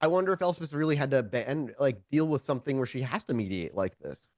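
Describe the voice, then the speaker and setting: Casting: male game master, animated delivery, improvised and wordy, halting for the right word, dry close recording. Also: mid-range voice, dry recording. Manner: wordy, halting, searching for words. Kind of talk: improvised tabletop game narration.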